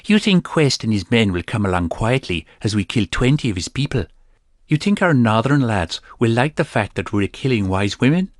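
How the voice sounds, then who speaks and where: A man's voice: medium pitch, big pitch swings, moderate pace, no room sound, voice pushed up, natural voice. A defiant young rogue swordsman whose voice rises and falls with heated insistence, as a male narrator puts him on. The recording is dry and close. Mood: angry.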